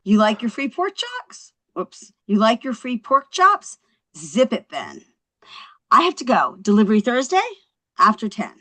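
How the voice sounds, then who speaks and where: Woman doing a cheeky young wife, super animated pitch swings, sure of herself, comedy read. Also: a little slow, exaggerated voice. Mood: triumphant, cheeky. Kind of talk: scripted comedy table read.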